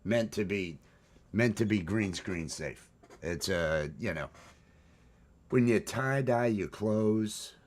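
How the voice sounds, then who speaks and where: A man with a baritone voice hosts a casual morning chat, rambling with restarts and fillers. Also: lively intonation, slow pace. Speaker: host.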